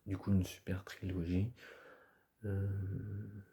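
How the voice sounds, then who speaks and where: A man talks casually to camera, hoarse and stuffy from a cold. His voice sits very low and he speaks slowly, stopping and restarting often. The chat wanders, full of fillers. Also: completely flat delivery, dark tone. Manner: hesitant, rambling, many fillers and restarts. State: hoarse, stuffy-nosed, coughing. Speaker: video creator.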